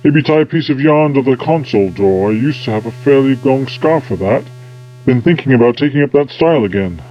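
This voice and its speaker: A man's voice: medium pitch, lively intonation, brisk, muffled recording, a little hiss or hum, natural voice. A man playing an eccentric, self-important time-travelling scientist in an audio drama, pompous and absent-minded. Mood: dry, superior.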